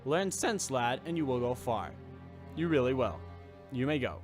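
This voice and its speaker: A man's voice: mid-range voice, sing-song, moderate pace, faint music behind, close to an everyday voice. A man playing a vain, self-absorbed mayor with a pompous, distracted manner in a comic audio drama. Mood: pompous, dismissive. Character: vain, self-absorbed male mayor fussing over appearance.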